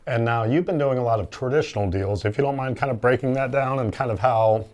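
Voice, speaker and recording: This is a man with a medium-pitched voice, hosting an interview for his company. He speaks conversationally but with a promotional bent. He breaks in to underline key points and turns to address the viewers directly. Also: quick pace, a little mellow. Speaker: interviewer and host, company representative.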